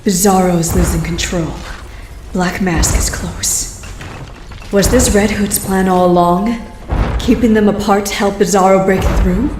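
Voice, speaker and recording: An actress playing a steady, watchful heroic warrior woman with deliberate, slightly hesitant phrasing. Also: medium pitch, lightly acted. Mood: wary. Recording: clear background noise.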